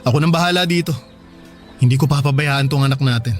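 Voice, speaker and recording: A man's voice: mid-range voice, quick pace, soft background music, lightly acted. An actor playing an earnest working-class husband and father in a radio drama, speaking plainly and conversationally. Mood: worried, reassuring.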